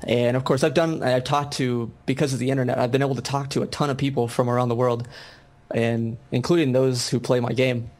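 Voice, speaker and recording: A man's voice: mid-range voice, steady tone, brisk, a little mellow. A young American man, a video game designer, chatting casually in an interview with many ums and restarts. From United States.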